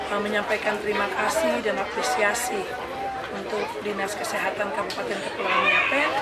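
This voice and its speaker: A woman official gives a hesitant press statement, with many filler sounds. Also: medium pitch. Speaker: regional government official. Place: outdoor tent at a crowded health event. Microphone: handheld phones held up by reporters. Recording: distant mic, loud crowd and noise.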